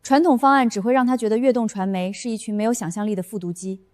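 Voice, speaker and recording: A woman playing a defiant young employee in an animated short drama, blunt, combative and persuasive. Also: a little high, fast talker, close to an everyday voice. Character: bold, defiant young female office employee.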